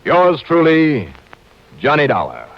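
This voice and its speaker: An American actor playing a wry, easygoing freelance insurance investigator in an old radio detective drama. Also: male voice, on the high side, wildly swooping pitch, slow, very noisy, heavily acted. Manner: wry, clipped expense-report storytelling. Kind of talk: 1960s radio detective drama, first-person narration and dialogue. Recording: dull old radio broadcast, music behind.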